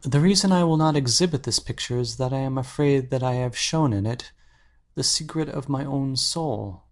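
An actor playing an earnest, anxious painter in a dramatic audiobook, speaking intensely and articulately. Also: male voice, mid-range voice, normal speed, lightly acted. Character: earnest, anxious male painter confessing his obsession.

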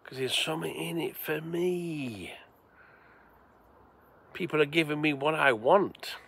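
A man's voice: fairly high pitch, measured pace, vocal fry, fairly bright tone, slightly rough. An English man in his forties, rambling casually to viewers at night, swearing freely. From England. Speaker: vlogger. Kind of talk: casual rambling livestream chat. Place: outdoors at night by the seaside.

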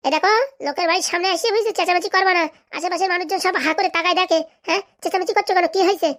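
Give me an actor doing a very high-pitched, fast-talking cartoon village wife, bossy and sharp-tongued. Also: steady tone. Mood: indignant. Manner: scolding, sharp-tongued, dismissive. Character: bossy, scolding middle-aged village wife and mother. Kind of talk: comedy cartoon voice acting.

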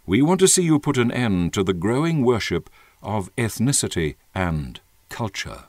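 A man giving an earnest, measured and emphatic dramatic reading of a written letter. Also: lowish voice, dry recording. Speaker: narrator reading an open letter.

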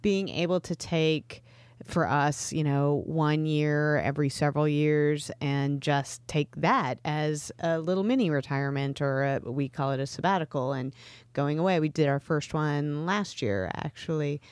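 A woman's voice: deep voice, fairly even intonation, a little slow, darkish tone, clear, resonant tone, no echo. A woman co-hosting a podcast, explaining her ideas in casual conversation. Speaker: podcast co-host.

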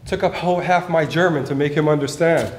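Man playing a high-pitched gallant English courier. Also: a little fast, close to an everyday voice. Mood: courteous. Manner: courtly, formal, clipped replies. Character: gallant, dutiful English diplomatic courier, formal and charmed. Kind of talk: theatrical period stage acting. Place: theater stage, indoors. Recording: echoey stage hall, hissy background noise.

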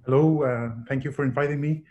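Egyptian guy on zoom, flat mellow voice, says like and uh a lot, faint hiss. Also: mid-range voice, fast talker, highs cut off. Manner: hesitant, full of "like" and "uh". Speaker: programming director. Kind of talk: introducing himself on a video call. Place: small room at home. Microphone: video call webcam. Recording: faint background noise on a video call.

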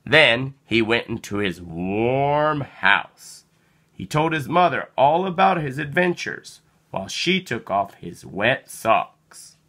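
A person reading a children's picture book aloud in an expressive, dramatic storytelling manner. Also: medium pitch. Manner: animated, slow, dramatic delivery with acted sound words. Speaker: storybook reader.

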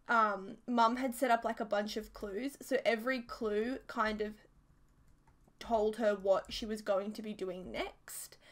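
A woman's voice: on the high side, fairly bright tone. A young Australian woman with a sore throat from a cold, chatting in a casual, rambling way full of fillers as she tells a story over gameplay. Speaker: YouTuber narrating over a game build.

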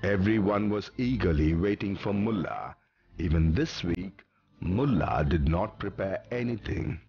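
A man's voice: deep voice, animated, unhurried, vocal fry, highs cut off. A man narrating a scripted moral story for children. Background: music.

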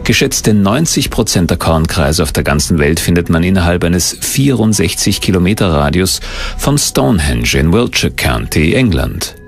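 A man reading scripted documentary narration in a measured, mysterious storytelling tone. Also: deep voice, big pitch swings, faint music behind.

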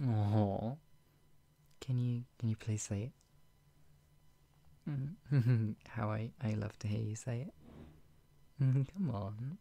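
A doting, playful lover acted out by a performer in an intimate roleplay ASMR. The voice is mellow and crackles with fry, often slipping into whispers. The delivery is teasing and flustered, full of soft hums and hesitant murmurs. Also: mid-range voice, full character voice. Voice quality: breathy, husky, often dropping into whispers. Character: doting, playful, flustered lover tenderly caring for an ill pet-named partner.

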